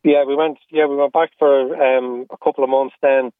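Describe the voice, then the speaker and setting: Irish guy middle aged on a phone call to radio, thin tinny sound, earnest and a bit halting. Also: mid-range voice, level tone, clean tone, dry recording. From Australia and Ireland. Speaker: interview guest. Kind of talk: candid personal conversation.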